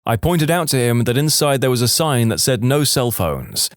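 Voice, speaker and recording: A man's voice: medium pitch, very animated, normal speed, no room sound, clean studio sound. A man reading scripted online stories as a narrator, acting out the quoted speech.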